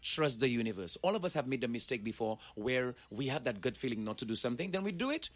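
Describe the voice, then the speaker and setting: A man's voice: medium pitch, big pitch swings, quick pace, soft background music. A man, giving an emphatic, preachy motivational talk as a guest and speaking straight to the listeners. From Saint Lucia. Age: 40s.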